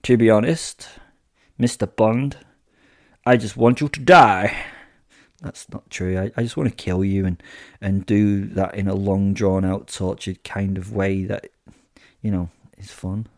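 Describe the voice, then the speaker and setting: A man's voice: fairly low pitch, fairly even intonation, a little slow, no room sound, clean studio sound. A man voicing a sadistic, gleeful werewolf killer who tells horror audio drama in the first person, with flippant, theatrical asides. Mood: mocking, taunting. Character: sadistic, gleeful male werewolf serial killer narrating his kills.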